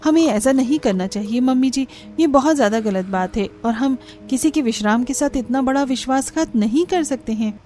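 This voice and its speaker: Woman playing an earnest, high-pitched young daughter-in-law. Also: moderate pace, hardly any pauses, warm tone, soft background music, lightly acted. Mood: upset protest. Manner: pleading, earnest, protesting. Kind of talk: cartoon story character dialogue.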